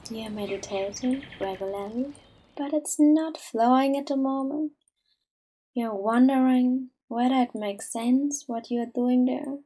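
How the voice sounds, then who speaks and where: Female meditation guide, higher-pitched but mellow, gentle and unhurried, soft to near-whisper. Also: husky. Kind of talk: slow soothing guided meditation.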